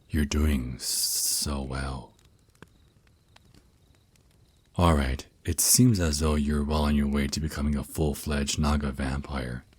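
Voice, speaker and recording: Man playing a very deep, husky vampire naga boyfriend. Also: a little mellow, full character voice. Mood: reassuring, playful. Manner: slow, soothing, teasing endearments. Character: centuries-old male vampire naga boyfriend, tender mentor. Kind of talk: ASMR roleplay, intimate monologue.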